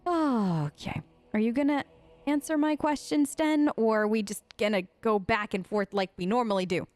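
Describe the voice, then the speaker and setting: A woman's voice: on the high side, moderate pace, darkish tone, no echo. A woman doing casual, chatty role-play commentary as she plays a video game in character. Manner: conversational, playful, chatty. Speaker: gamer playing in character.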